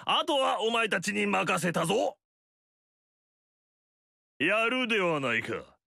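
A pompous vain noble warlord. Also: male voice, sits high, sing-song, takes it slowly, creaky, pushed and bright, dry recording, heavily acted. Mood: haughty. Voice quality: haughty, pompous. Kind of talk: video game voice lines. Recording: dry studio recording.

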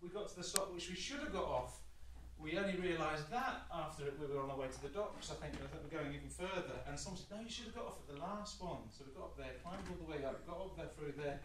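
A man's voice: on the high side, quick pace, hardly any pauses, background noise. A middle-aged Scottish-born man telling a chatty, rambling story to an audience as a spiritual medium, with restarts and laughs. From Scotland. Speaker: spiritual medium giving a talk. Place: large hall with a painted mural, indoors. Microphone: distant audience camera phone. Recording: echoey hall from far off.

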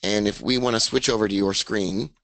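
A man with a raspy voice hosting a casual trading webinar, upbeat as he talks through his charts. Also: fairly low pitch, fairly even intonation, brisk, fairly bright tone, dry recording, poor audio. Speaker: webinar host. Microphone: studio mic, screen share.

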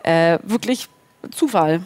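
A woman's voice: lowish voice, expressive, slow pace, a little mellow, no echo. A woman in her thirties talking casually as a talk-show guest, informal and often correcting herself mid-sentence. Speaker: guest, film producer. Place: indoor talk-show studio. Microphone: headset microphone.

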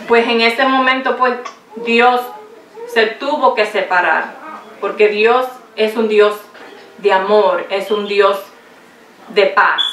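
A woman in her forties gives a Good Friday sermon at a small lectern. She speaks slowly, hunting for words and sometimes switching to English. The sound is roomy and distant, with a faint hiss behind her. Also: mid-range voice, fairly bright tone. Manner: halting, searches for words, slips into English. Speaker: pastor's wife preaching. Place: small home room set up as a chapel, indoors. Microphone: far camera, no visible mic. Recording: echoey room from a far camera.

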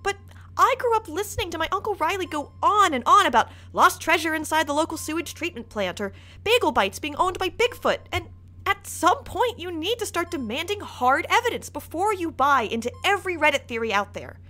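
A woman's voice: very high-pitched, animated, a little fast, bright, forceful tone, faint music behind, heavily acted. A woman playing an exasperated, sceptical secret agent in a comedy-adventure podcast, emphatic and sarcastic. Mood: indignant, sceptical.

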